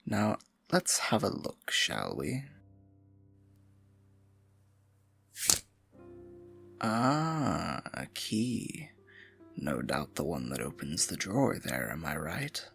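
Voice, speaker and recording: Evil court magician-advisor, fantasy ASMR roleplay. Low and gravelly, mocking, condescending, intimate, music underneath. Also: a bit bright, exaggerated voice. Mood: mocking suspicion. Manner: silky, mocking, condescending, drawn-out taunting. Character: scheming evil royal advisor and magician, smugly menacing.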